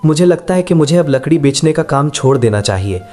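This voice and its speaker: A man's voice: on the high side, lively intonation, fairly quick, a little mellow, faint music behind, close to an everyday voice. A man voicing a poor, worried, devoted village husband and son in a cartoon moral story, earnest and careworn.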